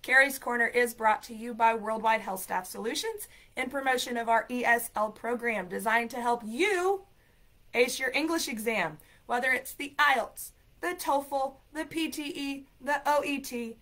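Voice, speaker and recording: A woman hosts a livestream from her home room through a webcam. Her slightly high voice is raspy from a cold, and she talks in an animated, chatty, playful way, telling stories to her viewers. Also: normal speed. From United States. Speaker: ESL program coordinator and show host. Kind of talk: livestream talk and storytelling. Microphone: laptop webcam, video call framing.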